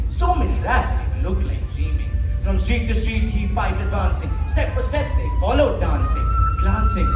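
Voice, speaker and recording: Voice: mid-range voice, natural voice. A young student performing as the narrator of a school stage play, reciting a verse tale dramatically. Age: teenager or younger student. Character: storyteller reciting a verse tale of rats and a piper. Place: school stage in a large hall. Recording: very echoey hall from far off, music.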